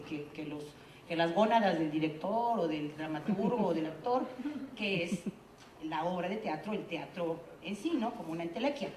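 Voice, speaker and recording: A woman's voice: lowish voice, a little mellow, slight background noise. A woman from Tamaulipas with a Mexican Spanish accent giving a chatty, joking talk at a theater congress. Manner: jokey, chatty, self-deprecating. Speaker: conference speaker. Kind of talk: informal speech at a congress. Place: conference hall. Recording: echoey hall.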